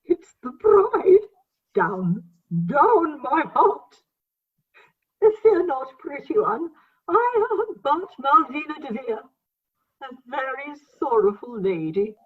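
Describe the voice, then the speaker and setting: A woman's voice: sits high, sing-song, takes it slowly, full character voice. An actress playing a jealous, grandly wronged tragic woman in a comic radio play, declaiming theatrically over a video-call recording. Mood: tragic, trembling. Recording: tinny, band-limited video-call recording.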